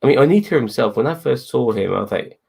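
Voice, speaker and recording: A man's voice: medium pitch, lively intonation, quick pace, gravelly, a little mellow, husky. A man co-hosting a casual wrestling review, rambling, repeating himself and swearing freely. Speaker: co-host.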